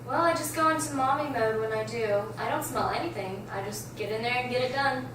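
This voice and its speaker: A woman's voice: on the high side, brisk, close to an everyday voice. A woman reading the part of an easygoing big sister and new mother in an amateur script table read. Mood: teasing. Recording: very echoey room, distant mic, clear background noise.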